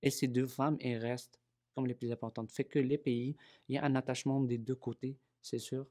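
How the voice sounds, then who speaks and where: A man with a Moroccan accent speaks in a recorded interview, his voice mellow and mid-pitched. He hesitates often, repeating words while he searches for the next one. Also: level tone, fairly quick. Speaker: oral history interviewee.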